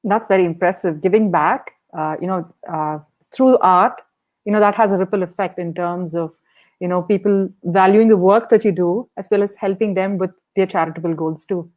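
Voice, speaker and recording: A woman's voice: fairly low pitch, moderate pace, a little mellow. A woman hosting a conversational podcast interview in an encouraging, appreciative manner.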